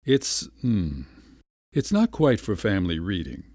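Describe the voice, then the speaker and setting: A polite middle-aged doctor hems and hesitates, embarrassed, as a man voices him. His voice is low and mellow, with a lively rise and fall, recorded dry. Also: unhurried, dry recording. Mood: flustered. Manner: hesitant, mildly flustered.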